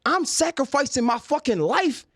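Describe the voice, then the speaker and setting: A man in his thirties from Baltimore talks hard and fast in a podcast chat. He pushes his points with repeated words, and his high voice swings widely in pitch. The sound is close and dry. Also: sing-song, a bit bright, no room sound. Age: 30s. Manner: emphatic, repeats words when pressing a point. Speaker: podcast guest, journalist. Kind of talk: heated casual conversation.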